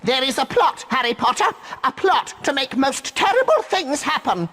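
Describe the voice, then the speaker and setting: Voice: high voice, sing-song, moderate pace, fairly bright tone, big-room sound. An actor voicing a small, anxious male house elf, solemn and pleading, in a video game. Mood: urgent warning.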